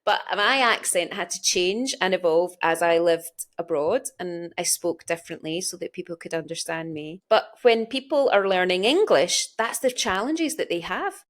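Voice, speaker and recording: A woman's voice: fairly low pitch, expressive, moderate pace, hardly any pauses. A talkative woman with a Glasgow Scottish accent, explaining her points conversationally as an interview host. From Scotland.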